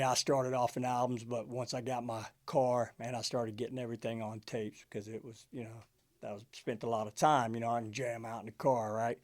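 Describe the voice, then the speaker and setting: A man's voice: mid-range voice, darkish tone. A middle-aged man talking off the cuff to camera as a music collector, in a laid-back, conversational way with frequent check-in tags. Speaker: music collector hosting a video channel. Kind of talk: casual unscripted talk to camera.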